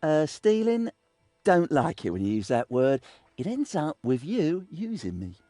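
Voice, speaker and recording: A man's voice: a little high, wildly swooping pitch, measured pace, mellow, no room sound, soft background music. A man playing a cowardly, reluctant thief in a fantasy game, hesitant and sardonic. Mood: nervous wariness.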